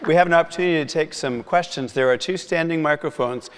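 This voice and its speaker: A man's voice: a little high, fast, slight background noise. A man moderating a conference session, courteous and organized, sometimes rephrasing himself mid-sentence. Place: large conference hall with audience. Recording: long reverb of a large hall.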